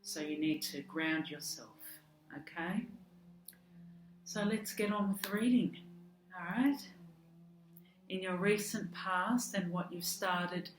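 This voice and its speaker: A woman with an Australian accent who is losing her voice, giving a chatty tarot card reading with soft laughs and fillers. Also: lowish voice, takes it slowly, pauses often. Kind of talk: casual direct-to-camera tarot reading. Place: home room with garden work outside. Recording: echoey room, faint outdoor garden work noise.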